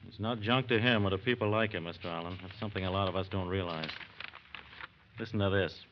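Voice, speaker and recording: A man acting a sober federal agent, low-voiced and clipped, with methodical authority. It is old-time radio drama, muffled with a faint layer of noise. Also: fast, close to an everyday voice. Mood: grave. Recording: muffled, slightly noisy old radio recording.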